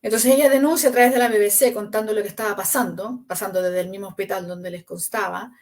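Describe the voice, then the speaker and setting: Woman, author guest, earnest, fluent storytelling, mid pitch. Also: steady tone, fast talker, a little mellow. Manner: earnest, fluent with frequent restarts. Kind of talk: storytelling interview answer.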